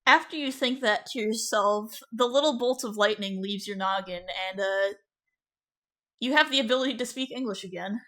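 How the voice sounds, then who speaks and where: A woman's voice: a little high, normal speed, sharp tone. A woman narrating as game master in a tabletop roleplaying game, casual and loose, with fillers.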